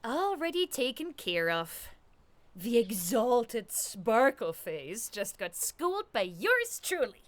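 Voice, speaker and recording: A woman voicing a cocky, mischievous young girl creature who brags in slang in an animated comic dub, with music behind her. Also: fairly high pitch, takes it slowly. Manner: slangy, bragging.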